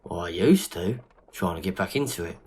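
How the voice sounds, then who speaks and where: A man playing a loyal gentleman friend in a period audio drama, talking in a matey, colloquial way. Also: low voice, expressive, normal speed, husky. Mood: companionable. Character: loyal, grieving gentleman friend, informal and companionable. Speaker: voice actor. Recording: noisy amateur audio drama recording.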